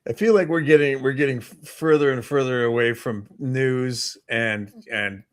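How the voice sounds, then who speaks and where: A man's voice: medium pitch, normal speed. A man co-hosting a casual chat show, speaking hesitantly as he searches for words. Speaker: talk show co-host.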